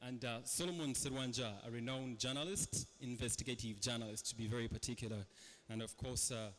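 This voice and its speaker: A man's voice: medium pitch, steady tone, a little fast, a bit bright, a little hiss or hum. A man with a Ugandan accent moderating a panel, hesitant with many fillers. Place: large hall. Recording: reverberant hall with faint ambience.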